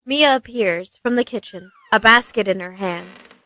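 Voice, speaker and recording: A woman's voice: fairly high pitch, a little slow, pushed and bright, low-quality recording. A woman narrating a scripted radio play, reading stage directions in a matter-of-fact way.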